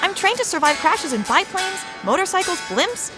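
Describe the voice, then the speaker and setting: An actress playing an earnest, naive daredevil agent who talks cheerfully. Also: female voice, extremely high pitch, very animated, normal speed, loud music behind the voice. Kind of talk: comedy audio drama acting.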